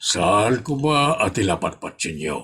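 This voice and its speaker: Man doing a very deep, measured hospital doctor. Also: big pitch swings, fairly bright tone, slightly rough, full character voice. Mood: measured, explaining. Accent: Ilocano. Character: calm hospital doctor explaining treatment, deep-voiced. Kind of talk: comedy audio drama acting.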